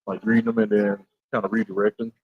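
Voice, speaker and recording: A man from Arkansas, an indie comic creator, chatting casually at his convention booth and calling out to passersby, relaxed and halting with many restarts. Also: on the low side, husky, muffled recording.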